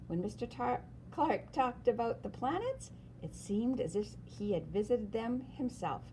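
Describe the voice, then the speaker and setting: A woman reads a children's story aloud expressively, with dramatic emphasis and pauses. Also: on the high side, very animated, dark tone, a little hiss or hum, low-quality recording. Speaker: storyteller reading a picture book aloud.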